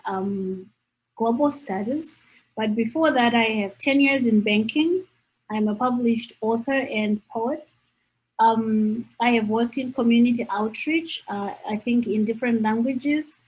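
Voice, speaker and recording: A middle-aged woman with a Zimbabwean accent speaks as a panelist in a student webinar. Her voice is fairly high and husky, and she talks informally. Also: unhurried, darkish tone, rough recording.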